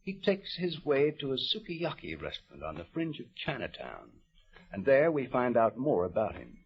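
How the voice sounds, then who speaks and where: A man's voice: medium pitch, huge pitch swings, moderate pace. A man hosting an old-time radio program, interviewing and narrating in a folksy, conversational manner. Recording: dull, muffled old radio recording.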